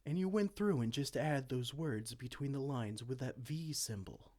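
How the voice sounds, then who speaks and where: A man's voice: mid-range voice, expressive, normal speed, a little mellow. A man playing a dorky, petulant evil king in a comedic fantasy roleplay, pompous, theatrical and exasperated. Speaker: amateur roleplay audio performer.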